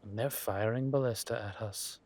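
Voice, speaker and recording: A man voicing a suave, menacing villain king who is doting with his lover and talks in a smooth, teasing, courteous drawl for a roleplay audio drama. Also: lowish voice, normal speed, darkish tone, a little hiss or hum, natural voice. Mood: wry.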